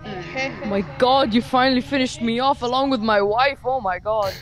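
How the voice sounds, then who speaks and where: A woman's voice: on the high side, moderate pace, lightly acted. A woman voicing a small sulky space-pilot creature in a goofy, melodramatic, singsong cartoon fan dub, with music behind her. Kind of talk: improvised comic fan dub.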